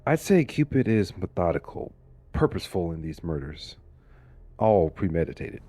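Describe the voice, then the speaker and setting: Man playing a low-voiced, expressive weary detective. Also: normal speed. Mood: measured, firm. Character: weary, driven police detective and father. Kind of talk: suspense audio drama acting.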